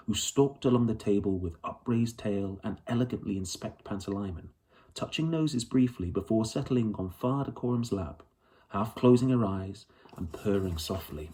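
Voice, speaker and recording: A man with a fairly low voice reads a children's novel aloud. He narrates the scene as a storyteller would in an audiobook. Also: dark tone. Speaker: audiobook reader.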